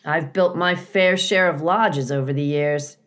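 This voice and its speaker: A woman voicing a folksy, bossy but kindly male beaver builder in a children's storybook, chatty and gruff in manner. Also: deep voice, a little slow. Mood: brisk, businesslike.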